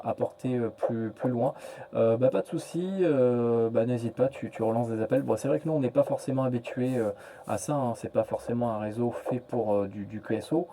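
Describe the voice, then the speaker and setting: A man chatting casually as a radio operator, halting and often repeating his words. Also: mid-range voice, mellow. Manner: hesitant, repeats words, trailing "hein" and "voilà". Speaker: amateur radio operator, relay network host. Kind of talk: casual radio contact chat.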